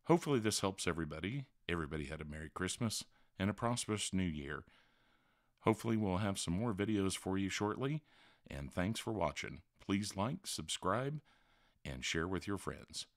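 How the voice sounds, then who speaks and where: Low-voiced man narrating a how-to. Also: lively intonation, moderate pace, dry recording, clean studio sound. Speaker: tutorial host. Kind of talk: how-to screen-recording narration.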